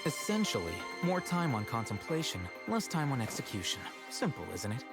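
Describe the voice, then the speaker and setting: An actor playing an aloof, calmly arrogant young scholar in game dialogue, measured, cool and dry. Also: male voice, mid-range voice. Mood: dry, composed. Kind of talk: dubbed game trailer dialogue. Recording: loud music behind game dialogue. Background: game soundtrack music.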